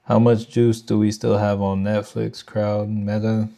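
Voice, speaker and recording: Gravelly low-voiced Texan man. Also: completely flat delivery, unhurried, mellow. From Texas. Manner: thinks aloud, loose slangy talk to chat. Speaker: trading livestream host. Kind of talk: casual live market commentary.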